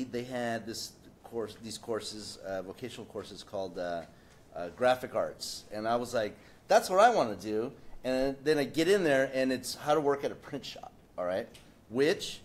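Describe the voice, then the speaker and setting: A man on a discussion panel telling a lively personal story in a casual, animated way. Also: lowish voice.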